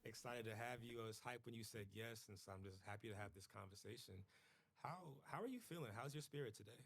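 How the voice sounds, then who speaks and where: Gravelly-voiced male podcast host. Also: mid-range voice, quick pace, a bit bright. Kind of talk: podcast intro and interview. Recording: podcast clip played on stream, faint music.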